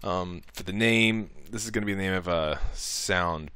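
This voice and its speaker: A man giving a casual, improvised software tutorial, talking through each click as he goes. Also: deep voice, moderate pace, clear, resonant tone, no room sound. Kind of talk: casual step-by-step screen tutorial.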